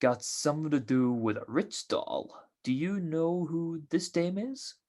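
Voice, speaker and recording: An actor playing a greedy, none-too-bright small-time tough guy in an old-time radio crime drama, talking in streetwise slang. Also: on the high side, expressive. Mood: boastful. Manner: drawn-out streetwise gangster slang.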